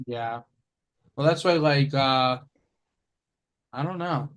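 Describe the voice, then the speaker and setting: A man's voice: medium pitch, slow, fairly bright tone. A man hosting an online game over a video call, playful and prone to trailing off. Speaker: game show host.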